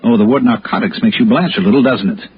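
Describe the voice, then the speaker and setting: An actor playing a tough, wry investigator with clipped, confrontational lines and first-person narration in a 1960s radio drama. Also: male voice, fairly low pitch, fast talker, husky, muffled recording. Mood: hard, accusing. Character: tough, sardonic, dogged male insurance investigator. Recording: old radio recording with music.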